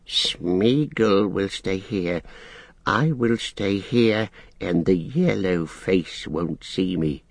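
A man voicing a wretched, sly cave creature for a storybook, with a strained, croaking voice and drawn-out, sing-song speech. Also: low voice, no echo, heavily acted. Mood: wary, hushed.

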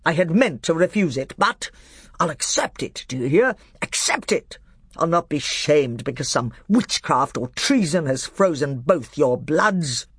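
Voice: mid-range voice, brisk, hardly any pauses, darkish tone, husky, no echo, studio quality, close to an everyday voice. A narrator playing a proud, hot-tempered male king in a storybook, haughty and defiant. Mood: angry, defiant.

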